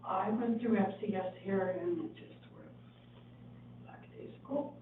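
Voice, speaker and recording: An older woman with a hoarse voice speaks haltingly, restarting her sentences as she makes her point. She is heard from across an echoing council chamber. The sound is thin and tinny, as if coming down a phone line. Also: medium pitch, slight background noise. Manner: halting, earnest, many restarts. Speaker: resident speaking at a town council meeting. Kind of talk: public comment at a meeting. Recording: tinny, echoey hall audio from a distant mic.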